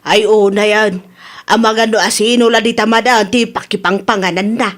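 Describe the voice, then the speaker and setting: A woman's voice: mid-range voice, fairly even intonation, normal speed, fairly bright tone, lightly acted. A woman with an Ilocano accent playing a disapproving village mother in a comedy radio drama.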